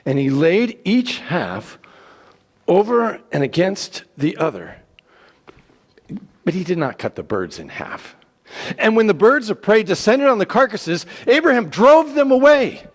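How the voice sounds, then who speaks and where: A man narrating scripture as a dramatic reading, with deliberate pauses and theatrical emphasis. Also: high-pitched, big pitch swings. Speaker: scripture reader.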